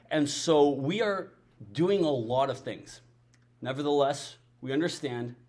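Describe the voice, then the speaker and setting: Mexican man, Spanish native, mid-pitched, earnest pulpit delivery with repeats for weight. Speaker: missions ministry speaker. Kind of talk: sermon-style ministry talk.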